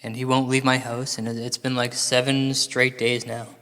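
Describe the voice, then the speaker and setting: A man's voice: medium pitch, flat delivery, normal speed, pushed and bright. A man performing a comic recital and monologue in a dramatic, exasperated manner. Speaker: comic storyteller. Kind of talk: theatrical poem recital turning into exasperated monologue. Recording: long reverb, like a large hall.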